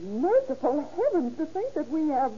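A woman's voice: sits high, sing-song, normal speed, dark tone. A woman acting a timid, flustered policeman's wife in an old radio drama, hesitant and full of breathless exclamations. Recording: dull, noisy old radio recording.

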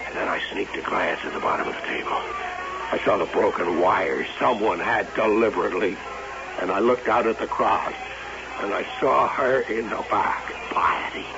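Harried, gravelly radio-drama showman. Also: lowish voice, moderate pace, over music. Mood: tense narration. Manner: intense, curt, theatrical. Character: harried, irritable showman husband, telling his own downfall. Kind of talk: old-time radio drama acting and first-person narration. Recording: muffled old radio broadcast.

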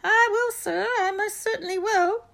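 A storybook prankster spirit, male and mischievous, acted out by a woman. The voice is very high and shrill, with drawn-out, sing-song words that can turn from taunting to greasily servile. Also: steady tone, gravelly, a bit bright, heavily acted. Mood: fawning, servile. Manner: taunting then fawning.

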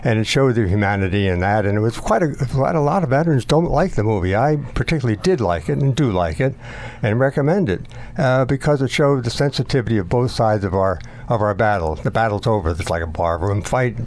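Elderly man, halting and reflective. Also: on the low side, animated, a little mellow. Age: 90s. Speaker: war veteran, interview guest. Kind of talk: recalling memories in an interview.

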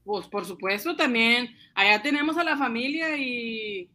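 A man's voice: very high voice, unhurried, pushed and bright, exaggerated voice. An actor in a comic radio drama playing an eager, blustering immigrant man of forty who stammers when flustered. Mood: nervous. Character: excitable Latin American immigrant man, forty, eager upstart banker.

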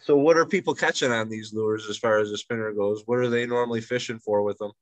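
A man's voice: on the low side, steady tone, quick pace, no echo. A man hosting a casual interview, friendly and encouraging. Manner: friendly, encouraging, asks questions. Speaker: interview host.

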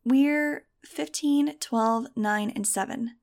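A woman voicing a chatty, cheeky fifteen-year-old winged girl in an audiobook, emphatic and quick to retort. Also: fairly high pitch, darkish tone, voice pushed up. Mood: bright.

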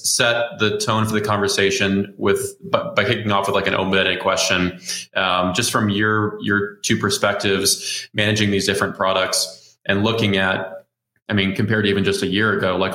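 Low, bright-edged man moderating casually. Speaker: interview host, moderator. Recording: roomy echo.

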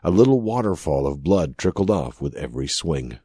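A male narrator reads dramatic fiction in an extremely deep voice with a husky grain. His phrasing is measured and deliberate, and the sound is dry and close. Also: big pitch swings, dark tone, no room sound. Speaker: audiobook narrator.

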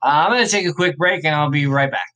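A man hosting a podcast, thinking aloud in a casual, rambling way, his voice a little raspy and worn. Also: fairly high pitch, quick pace, sharp tone. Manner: rambling, laid-back, self-correcting. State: slightly raspy, tired voice. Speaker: podcast host. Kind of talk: casual thinking aloud during a fantasy draft.

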